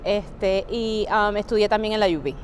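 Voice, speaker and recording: A middle-aged woman from Puerto Rico telling stories in an interview, lively and anecdotal, with frequent fillers. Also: medium pitch, moderate pace, a little hiss or hum. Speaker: NASA researcher, guest. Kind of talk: casual interview storytelling.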